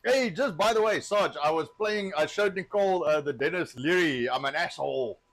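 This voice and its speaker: A South African man runs a live cooking show, talking casually as he works. He rambles with plenty of filler words and jokes freely. His voice sits a bit higher than most men's. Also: normal speed. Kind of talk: casual live-stream chat while cooking.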